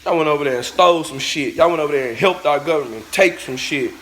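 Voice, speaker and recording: High-pitched man, vlogger, blunt profane rant to camera. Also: quick pace, fairly bright tone. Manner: blunt, profane, preachy, talks straight at the viewers. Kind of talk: ranting monologue to camera.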